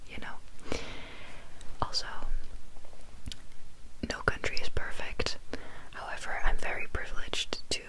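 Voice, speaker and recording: A young adult woman from the Netherlands, a native Dutch speaker, whispering an ASMR chat from her bed, soft and meandering with many pauses and ums. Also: poor audio. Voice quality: full whisper, unvoiced. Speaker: ASMR YouTuber. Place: sitting on her bed indoors. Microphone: close mic, phone notes in hand.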